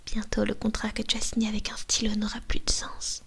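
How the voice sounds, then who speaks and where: A woman's voice: medium pitch, monotone, fairly quick, vocal fry, fairly bright tone, husky, heavily acted. A woman voicing a possessive, domineering female vampire mistress in an ASMR roleplay, mostly whispering, coaxing and commanding into a binaural microphone.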